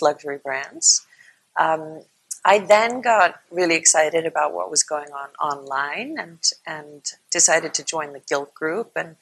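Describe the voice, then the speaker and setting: A woman from Iceland speaking as a panelist in a live online discussion, explaining at a measured pace with frequent restarts. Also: deep voice, gravelly, fairly bright tone, breathy edge, low-quality recording. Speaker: panelist, fashion entrepreneur. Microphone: video call.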